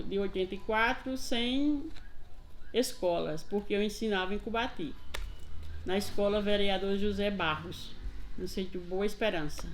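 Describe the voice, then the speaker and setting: An older retired schoolteacher with a Northeast Brazilian accent speaks in a somewhat high voice. She recounts her past reflectively, slowing down to stress what matters. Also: a little hiss or hum. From Paraíba, Brazil. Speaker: retired teacher, interviewee. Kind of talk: casual interview, recounting memories.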